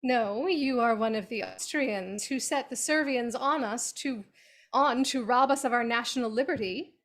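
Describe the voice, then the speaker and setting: A woman's voice: a little high, normal speed, lightly acted. A woman reading a haughty young noblewoman. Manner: haughty, earnest and indignant. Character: proud, high-minded young noblewoman. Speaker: cast reader in a play reading. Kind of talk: cold-read stage play reading. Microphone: video call.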